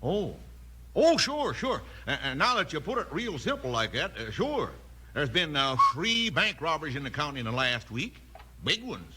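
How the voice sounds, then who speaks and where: An actor playing a bumbling, folksy sheriff with a halting Western drawl in a 1950s radio western. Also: male voice, a little high, big pitch swings, sharp tone. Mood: dawning understanding. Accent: American Western drawl. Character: bumbling, slow-witted, folksy old-West sheriff. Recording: noisy 1950s radio recording.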